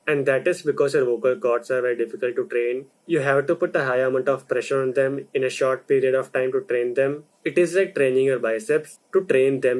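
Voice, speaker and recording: A young man from India who runs a video channel, talking through a voice lesson in a slow, deliberate explainer style. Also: medium pitch, darkish tone. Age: young adult. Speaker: video creator. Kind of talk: explainer narration.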